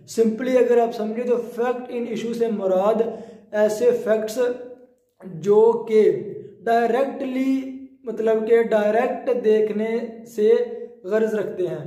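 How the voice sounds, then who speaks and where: A man's voice: high voice, warm tone. A man with a Pakistani accent giving an explanatory law lecture, didactically repeating and rephrasing his points. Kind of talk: explanatory lecture in Urdu mixed with English legal terms. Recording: roomy, echoing sound.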